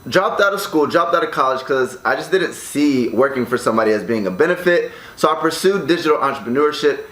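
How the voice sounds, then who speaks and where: An American man speaking straight to his audience in an energetic, salesy way, often tagging his sentences with "right?", recorded in his condo. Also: on the high side, echoey room. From United States. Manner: energetic, upbeat, salesy, with frequent "right?" tags. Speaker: online business vlogger. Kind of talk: casual direct-to-camera vlog. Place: condo room.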